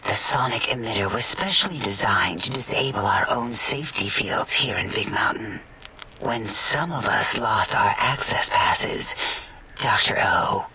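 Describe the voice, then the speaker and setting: An actor voicing a sultry female robotic scientist in a video game, creepily fascinated, whispering in a breathy, clinical, lingering way. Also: medium pitch, a little slow, bright, forceful tone, far from the mic, slight background noise, poor audio. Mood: sensual, clinical. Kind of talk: video game character acting.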